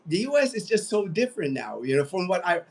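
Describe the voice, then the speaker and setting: Higher-voiced American man, casual livestreamer. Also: sing-song, quick pace, creaky, breathy edge. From United States. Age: late 50s. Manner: rambling, conversational, repeats phrases. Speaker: livestream host. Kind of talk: casual livestream chat, reading out viewer comments.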